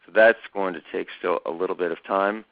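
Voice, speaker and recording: Man hosting a talk, measured and hesitant, lots of fillers, lo-fi dry sound. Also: low voice, fairly even intonation, vocal fry, no echo. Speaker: foundation chairman and host. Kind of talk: conversational webinar talk. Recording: dull lo-fi dry recording.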